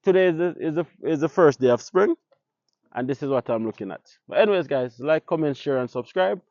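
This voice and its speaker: A man's voice: on the high side, animated, fairly quick, darkish tone, no room sound, lo-fi sound. A Jamaican man, a native Patois speaker, talking casually to his viewers and putting rhetorical questions to them. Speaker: vlogger. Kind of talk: casual talk to camera.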